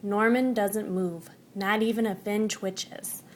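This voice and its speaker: A woman narrating a children's picture book in an expressive, animated storytelling manner. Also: medium pitch, slow. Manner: animated, acts out dialogue and sound words. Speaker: storybook reader.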